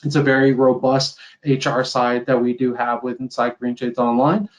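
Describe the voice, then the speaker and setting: Man presenting an informal software demo. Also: mid-range voice, little pitch movement, moderate pace. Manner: explanatory, conversational, tags statements with "right?". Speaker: software company sales executive presenting a webinar.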